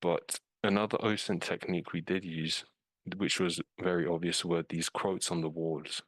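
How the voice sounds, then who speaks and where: A man's voice: deep voice, flat delivery, normal speed, a bit bright, no echo. A man with a British accent, a scam investigator casually walking through a case in a webinar over a video call, loose with fillers. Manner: informal, uses fillers like "um" and "like". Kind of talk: casual walkthrough of a case with slides.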